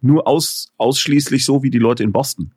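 A middle-aged man, an author and filmmaker, talking as an interview guest in a lively, joking, rambling way. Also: mid-range voice, moderate pace, breathy edge, no echo, studio quality. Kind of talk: casual interview conversation.

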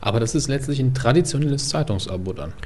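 A man's voice: medium pitch, expressive, fast, dark tone. A man co-hosting a media discussion, giving frank, casual opinions and often interjecting, with faint noise behind him. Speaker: co-host commenting on media news.

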